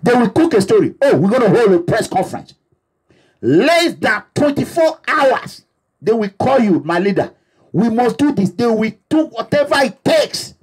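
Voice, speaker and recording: Nigerian man, activist leader, husky voice, swooping high pitch, preachy and emphatic. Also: big pitch swings, normal speed, low-quality recording. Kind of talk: impassioned address to supporters. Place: abroad, away from home.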